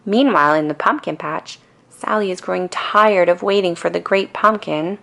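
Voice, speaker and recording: A woman narrating a children's bedtime story in a calm, expressive storytelling manner. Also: lowish voice, normal speed, gravelly. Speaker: storybook reader.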